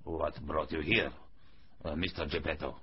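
A man's voice: a bass voice, fairly even intonation, normal speed, breathy edge, highs cut off, voice pushed down, exaggerated voice. A man voicing an old carpenter in a storybook, muttering to himself hesitantly and easily frightened. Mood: weak, polite.

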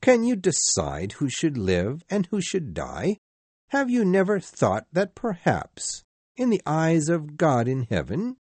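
A man's voice: medium pitch, a little mellow, no echo, voice pushed up, full character voice. A man voicing a solemn, righteous male ghost who lectures with stern reproach. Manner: reproachful, preaching.

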